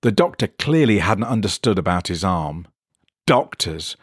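Low voiced guy reading a story, really expressive, dry studio-like sound. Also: measured pace, slightly rough, no room sound. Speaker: narrator. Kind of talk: expressive scripted story narration.

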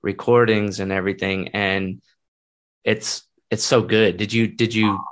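A man's voice: on the low side, little pitch movement, a little slow. An American man interviewing a guest in a casual chat. He rambles enthusiastically and restarts his sentences often. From United States.

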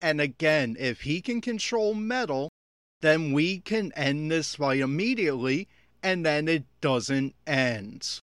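A man's voice: on the high side, animated, slow, fairly bright tone, dry recording, clean studio sound. A man giving unscripted, opinionated audio commentary on an anime episode, critical and exasperated in manner.

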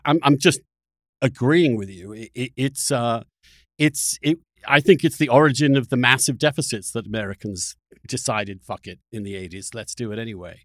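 A man's voice: medium pitch, a bit bright, no echo, studio quality. A middle-aged man from Britain, hosting a conversational political discussion in a digressive way, restarting mid-thought. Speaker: podcast host.

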